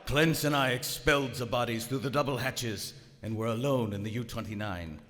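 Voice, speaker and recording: A man's voice: mid-range voice, fairly bright tone, natural voice. Man acting a stern submarine captain, echoey hall. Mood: grim, detached. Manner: stern, formal, measured. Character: proud, cold German WWI submarine captain, narrating his log. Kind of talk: live radio theater acting. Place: on stage in a large theater. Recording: echoey theater hall, live stage recording.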